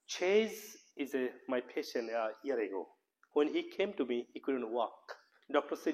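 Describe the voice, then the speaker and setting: A man's voice: fairly high pitch, rough recording. A man with a Bangladeshi accent giving an informal health lecture, speaking earnestly in loose, repetitive English phrasing. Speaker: professor and health practitioner giving a talk.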